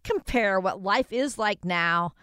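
A woman's voice: medium pitch, expressive, fairly bright tone, clean tone, no echo, studio quality. A woman in her sixties co-hosting a radio show, speaking in a cheerful, upbeat way as she introduces the advisor and asks questions. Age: 60s. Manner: cheerful, reads out phone numbers slowly. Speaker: radio co-host.

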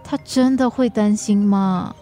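An actress playing a troubled, easily wounded wife in a radio drama, emotional and pleading, with a Singaporean accent. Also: female voice, medium pitch, unhurried, dark tone, faint music behind, lightly acted. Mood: troubled, subdued.

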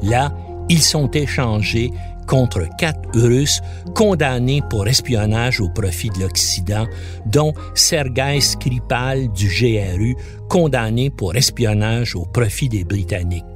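A man's voice: mid-range voice, slow, music in the background. A man narrating a scripted documentary as a podcast host, in a dramatic storytelling manner.

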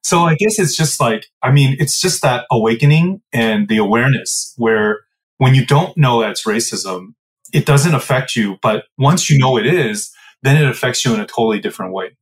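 A man's voice: medium pitch, expressive, normal speed. Man, 40s, Midwest-raised guest; reflective, filler-heavy storytelling. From Midwestern United States. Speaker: guest, business co-founder. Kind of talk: casual interview answers.

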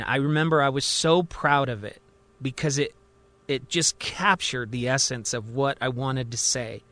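A man's voice: mid-range voice, a bit bright, lightly acted. A man playing an agitated patient who insists he is the president, earnest and desperate, in a dramatized fiction podcast. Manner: earnest, increasingly desperate and angry.

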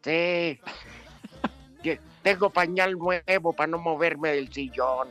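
A man hosting a sports talk show with a mock-gloomy, teasing delivery. He speaks very slowly in a slightly high voice, with soft music underneath. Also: steady tone.

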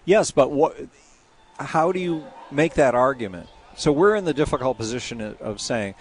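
A man hosting a live radio talk show from an outdoor food festival, interviewing a guest and lively in his delivery. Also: medium pitch, moderate pace. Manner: animated, mimics opponents in a raised voice.

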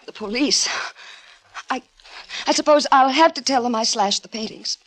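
Woman acting distraught murderous widow, high voice, faltering, overwrought, defensive, vintage radio melodrama. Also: normal speed, lightly acted.

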